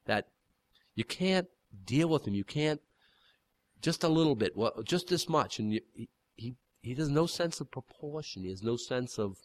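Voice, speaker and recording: A man's voice: medium pitch, lively intonation, moderate pace. A man preaching an informal sermon in a folksy, rambling way, full of fillers and restarts, with a big voice.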